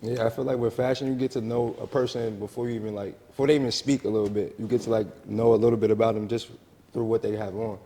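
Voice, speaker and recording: A man's voice: fairly low pitch, flat delivery, fast talker, mellow. A man from New Jersey, a brand owner and stylist, talking casually and hesitantly, with many fillers. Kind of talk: casual interview chat. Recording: long reverb in the room.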